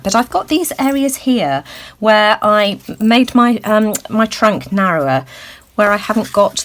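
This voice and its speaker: A woman hosting a craft tutorial, thinking aloud with lots of ums and a slightly croaky voice. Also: medium pitch, a bit bright. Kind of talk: casual crafting demonstration. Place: indoors at a craft table.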